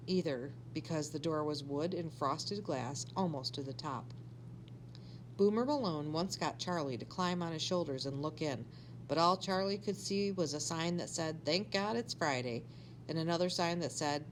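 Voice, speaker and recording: Woman, low pitch, expressive storybook read-aloud, teacherly delivery, noticeable background noise with hum. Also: low-quality recording.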